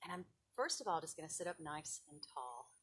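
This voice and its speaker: A woman's voice: low voice, big pitch swings, brisk, fairly bright tone, breathy edge. A woman leading children's yoga and storytime, giving informal, encouraging, step-by-step guidance. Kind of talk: informal instruction and picture-book storytelling for children.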